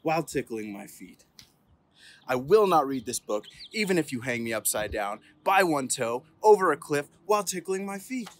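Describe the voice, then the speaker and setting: Playful higher-voiced man reading to kids. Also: sing-song, normal speed. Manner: playful, theatrical, emphatic build-ups. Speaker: police officer reading at storytime. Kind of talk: expressive children's picture-book read-aloud. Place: outdoors on an open terrace.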